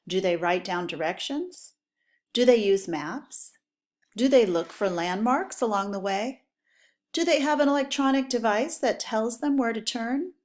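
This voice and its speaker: A woman teacher reading educational text aloud for pupils, with clear, deliberate, instructional phrasing. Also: medium pitch, darkish tone.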